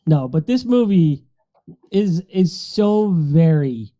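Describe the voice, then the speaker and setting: Casual man with a very dark, mellow voice. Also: a little high, big pitch swings, takes it slowly. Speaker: podcast panelist. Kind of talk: casual movie discussion.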